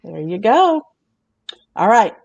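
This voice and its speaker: A woman's voice: mid-range voice, very animated, slow pace, creaky, darkish tone, dry recording, dull audio. A woman host who chats casually, laughs often and reads aloud from her notes. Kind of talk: casual chat.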